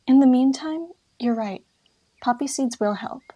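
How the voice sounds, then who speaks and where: A female medicine cat, played by a woman, grave and caring, with a mystical unease. The voice is breathy and a little high, in the style of a storybook audio drama. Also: measured pace, mellow. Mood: uneasy, caring. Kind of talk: acted fan audiobook dub.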